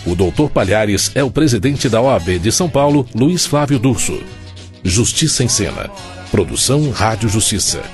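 A man's voice: on the low side, big pitch swings, moderate pace, soft background music. A man with a Brazilian accent reading scripted radio credits as the programme's announcer.

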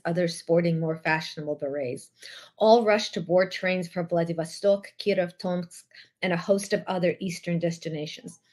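A woman born in the former Soviet Union reads her own novel aloud from a small room at home. Her voice sits a little low for a woman, and she keeps a measured, careful pace, now and then stopping to correct a word. The sound comes through a laptop microphone on a video call. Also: darkish tone. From Odessa, then USSR. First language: Russian. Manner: measured reading with occasional self-corrections. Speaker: novelist reading her book. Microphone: laptop webcam mic on video call.

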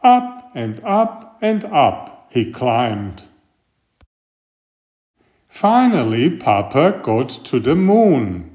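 A man reading a children's picture book aloud in a deliberate, expressive storytelling manner. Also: medium pitch. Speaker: storybook reader and author.

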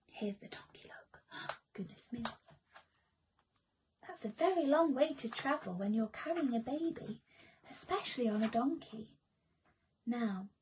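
A young woman performs as a children's storyteller. Her voice is high and very animated, yet unhurried, with a soft husky edge and theatrical asides to the listener. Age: young adult. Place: small room at home.

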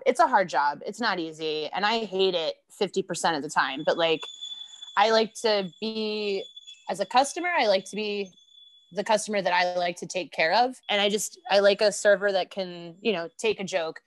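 A woman's voice: medium pitch, normal speed, no room sound, poor audio. A woman with an American accent, a podcast guest chatting casually and laughing often as she tells stories. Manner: laughs often, animated storytelling. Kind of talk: casual chat.

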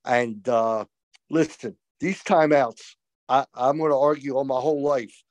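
An older man co-hosting a postgame lacrosse show over a video call, talking with emphatic, opinionated excitement. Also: medium pitch, measured pace, dry recording. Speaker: co-host, college lacrosse analyst. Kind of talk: excited postgame sports talk. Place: small room indoors. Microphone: video call webcam.

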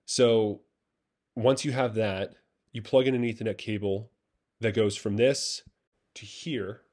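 A man casually walking through a network setup tutorial, talking in a relaxed, halting way with many fillers and restarts. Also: lowish voice. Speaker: tutorial presenter. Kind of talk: casual screen-recorded tech tutorial. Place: voice-over over screen recording.

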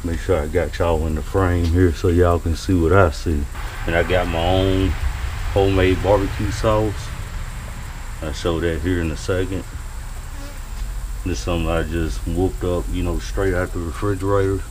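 A man's voice: sits low, fairly even intonation, normal speed. A man, talking casually to viewers in a laid-back drawl while cooking outdoors at a grill, picked up by a camera mounted above it. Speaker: home cook vlogger. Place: outdoors at a grill beside a house. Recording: noisy outdoor camera audio.

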